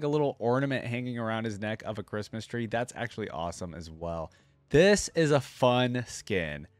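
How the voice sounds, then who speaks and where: A man's voice: medium pitch, no echo. A man giving casual reaction commentary, thinking aloud in informal, slangy language. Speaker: reaction video host.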